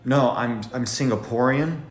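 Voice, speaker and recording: A man's voice: mid-range voice, unhurried, roomy sound, natural voice. A man playing a patient but exasperated witness who keeps explaining himself to a senator.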